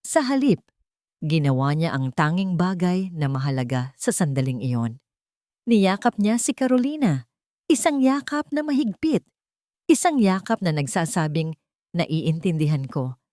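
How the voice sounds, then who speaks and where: A woman's voice: lowish voice, big pitch swings, measured pace, mellow, no echo, studio quality. A woman narrating a dramatic love story in an emotive, melodramatic way.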